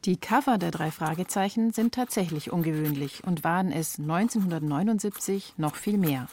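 A woman's voice: fairly low pitch, darkish tone, slight background noise. A woman reading scripted documentary narration as a feature reporter.

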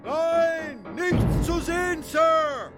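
High-voiced, dutiful whaling sailor. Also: male voice, extremely slow, shouting, loud music behind the voice, full character voice. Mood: calling out. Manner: calls out in clipped nautical replies. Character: dutiful male whaling sailor relaying orders. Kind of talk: German adventure radio play acting.

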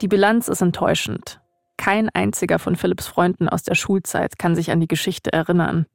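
A woman's voice: on the low side, steady tone, a little fast, vocal fry. A woman narrating a podcast from a script in a wry way, as its host. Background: music bed.

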